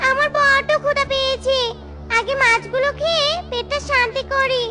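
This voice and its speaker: A woman's voice: very high voice, fairly even intonation, normal speed, bright, forceful tone. A woman voicing a bossy but grateful hungry witch bird in a children's cartoon, demanding and wheedling. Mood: approving, hungry. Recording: music behind the voice.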